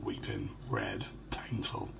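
A middle-aged British man with a hoarse, croaky voice, talking haltingly and in a downbeat way in a casual personal video diary. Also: very deep voice, big pitch swings, fairly bright tone. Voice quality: croaky, gravelly. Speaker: vlogger. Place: small furnished room at home. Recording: noisy home phone or webcam recording.

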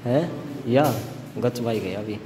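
A man's voice: lowish voice, slow. A man in his twenties with a Haryanvi accent, giving casual vlog commentary into a handheld phone. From Haryana, India. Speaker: vlogger. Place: motorbike dealership showroom. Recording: echoey hard-walled showroom, loud background noise.

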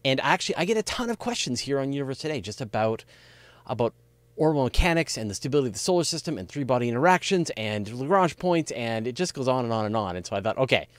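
A middle-aged man hosts a conversational interview, his pitch moving up and down in an animated way. He sounds informal and curious, and is picked up closely on a dry microphone. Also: fast, no echo. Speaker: interview host. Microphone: close desk microphone, video call.

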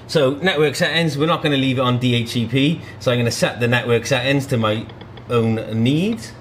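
A man's voice: mid-range voice, brisk. Man giving a tutorial over background noise. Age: 30s. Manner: informal, step-by-step explaining. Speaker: product demonstrator. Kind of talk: hands-on tutorial narration. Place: small indoor showroom.

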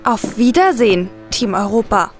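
A woman's voice: a little high, big pitch swings, normal speed, faint music behind. An actress playing a naive, earnest young woman client in a detective audio drama. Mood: hesitant, polite.

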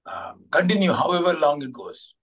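Casting: Indian-accented man, 40s, fairly high voice, encouraging coach on a video call, slightly away from the mic. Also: moderate pace, fairly bright tone. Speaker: coach leading an online class. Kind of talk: encouraging talk to students. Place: small curtained room at home. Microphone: video call, device at arm's length. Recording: distant microphone.

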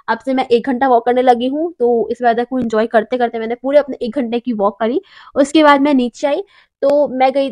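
High-voiced young woman, chatty vlogger. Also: a little mellow. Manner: chatty, encouraging, keeps saying "theek hai". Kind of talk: daily routine vlog, motivational chat. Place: small room at home, sitting on bed.

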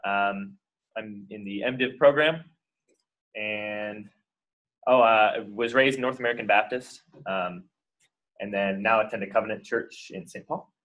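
A young man from Washington State, a seminary student, introducing himself calmly with some hesitation in a classroom. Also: lowish voice, measured pace. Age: young adult.

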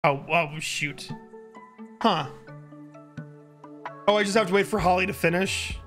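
A man in his early thirties with a hoarse voice, chatting casually as a live streamer on a close mic. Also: high-pitched, unhurried, fairly bright tone. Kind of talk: casual livestream chat. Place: indoor home streaming room. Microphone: close boom-arm microphone. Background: music.

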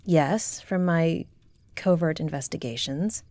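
A woman's voice: deep voice, slow pace, warm tone, dry recording. A woman playing a proud, guarded noblewoman commander in a fantasy audio drama, speaking formally and haughtily. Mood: grave. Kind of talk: fantasy audio roleplay acting.

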